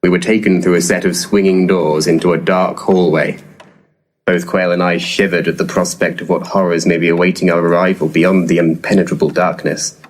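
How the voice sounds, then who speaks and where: A man's voice: low-pitched, level tone, brisk, lightly acted. An actor playing a pompous, verbose male paranormal investigator in a comedic audio drama, florid one moment and crude the next. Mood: grandly narrating. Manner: grandiloquent, verbose.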